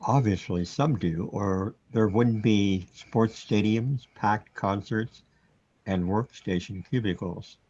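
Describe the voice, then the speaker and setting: A man acting in an amateur radio serial as announcer and easygoing character, with a husky voice. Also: on the low side, slow, darkish tone, no room sound. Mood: matter-of-fact. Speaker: announcer and actor. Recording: dull lo-fi recording.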